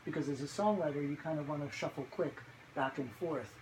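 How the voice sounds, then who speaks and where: A man's voice: a little high, brisk. A man chatting casually and jokily to camera as a museum presenter. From New York City. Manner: playful, jokey, chatty. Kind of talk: casual unboxing chat to camera. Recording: clear background noise, live ambience.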